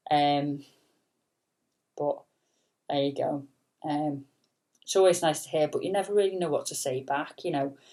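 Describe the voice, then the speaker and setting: A woman and singer with a soft, low voice, rambling casually in a late-night vlog with lots of fillers and the odd swear word. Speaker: singer and vlogger.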